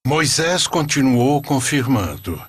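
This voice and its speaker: A man narrating a scripted religious story for a cartoon in a solemn, deliberate manner. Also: medium pitch, very animated, a bit bright, husky.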